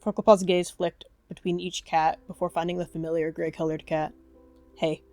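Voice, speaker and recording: A woman's voice: on the low side, level tone, brisk, a little mellow, no room sound. A woman narrating a scripted third-person animal story as part of a roleplay. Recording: music behind.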